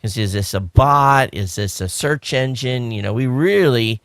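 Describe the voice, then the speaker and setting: A man co-hosting a podcast, talking in a relaxed, rambling way with many fillers. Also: medium pitch, measured pace, dry recording, studio quality. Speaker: podcast co-host. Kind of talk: casual chat.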